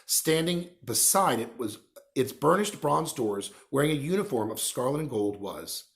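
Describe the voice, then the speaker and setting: A man reading a children's novel aloud as an expressive storyteller. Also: medium pitch, moderate pace, vocal fry. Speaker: reader, storyteller.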